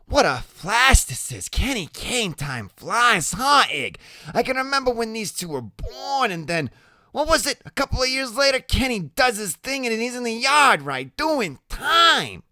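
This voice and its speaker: Excitable, taunting, beer-swilling woman for an audiobook, high and forceful, giggly and cruel played by a man. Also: unhurried, no echo, clean studio sound, full character voice. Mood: gossiping. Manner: shrill exclaiming, teasing, slangy.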